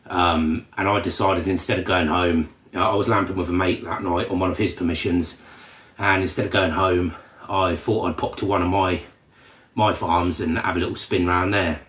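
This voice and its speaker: A man with a cold and a slightly croaky voice, hosting a casual vlog update in a relaxed, rambling way with many ums. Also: low-pitched. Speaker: channel host. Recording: roomy echo from a distant mic.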